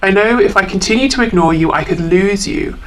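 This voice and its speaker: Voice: mid-range voice, fairly quick, very noisy. A tarot reader talking informally through a card reading, thinking aloud in a hesitant, reflective way and reading out messages.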